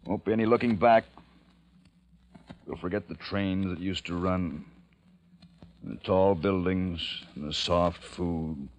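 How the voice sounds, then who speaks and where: A man's voice: low voice, takes it slowly, long pauses. An actor playing a grave, weary man who leads a group of disaster survivors, in 1940s radio drama style, earnest and solemn. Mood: despairing. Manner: earnest and solemn, sinking to hushed despair. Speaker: lead and narrator.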